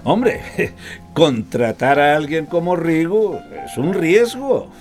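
Casting: middle-aged Costa Rican dad, radio drama, crafty and jovially scornful, very animated pitch, unhurried. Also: male voice, soft background music. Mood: smug, amused. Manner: chuckling, needling, folksy. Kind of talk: radio drama acting, one side of a phone call.

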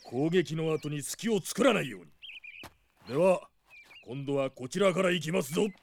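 Old knight retainer: stern, admonishing, creaky voice, audio drama delivery. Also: male voice, fairly high pitch, expressive, moderate pace, slight background noise. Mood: instructive. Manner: formal, old-fashioned, admonishing. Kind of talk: Japanese drama CD acting.

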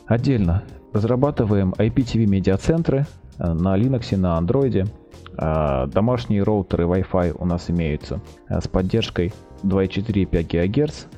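A man with a low and very mellow voice speaks as a company guest on an informal interview show. He presents in businesslike, sales-like phrasing. Faint music sits underneath. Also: animated, normal speed, highs cut off. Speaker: company representative, project manager, interview guest. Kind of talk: informal product presentation and answers.

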